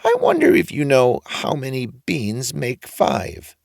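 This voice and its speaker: A man voicing a funny old trader in a storybook, sly and friendly, with a drawn-out coaxing delivery. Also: mid-range voice, huge pitch swings, creaky, dry recording, studio quality, voice pushed up, heavily acted. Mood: friendly, curious. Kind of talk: storybook character reading.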